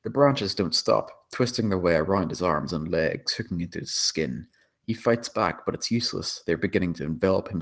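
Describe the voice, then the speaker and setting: Casting: male narrator, low-pitched, delivering scripted scene descriptions with a dramatic storytelling style. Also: a bit bright. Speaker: narrator reading stage directions.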